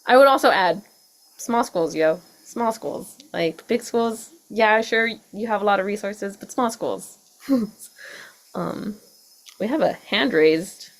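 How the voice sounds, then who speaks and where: A woman's voice: mid-range voice, lively intonation, takes it slowly. A young woman speaking as a panelist on a video call, casual and filling her sentences with "like.". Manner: casual, lots of "like", laughs often.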